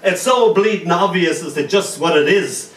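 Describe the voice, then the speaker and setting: Male poet from Ireland, fairly high voice, joking asides and slow tender recitation, recorded from a distance in a roomy cafe. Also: fairly bright tone. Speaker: poet reading at an open mic. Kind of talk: poetry reading with banter. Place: small cafe venue with live audience. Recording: roomy, distant, faint noise.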